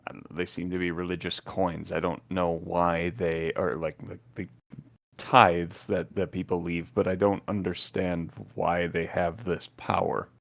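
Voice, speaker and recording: Brief: low, gravelly male player, hesitant, dry close sound. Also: little pitch movement, a little slow, fairly bright tone, dry recording. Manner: hesitant, musing, mumbling.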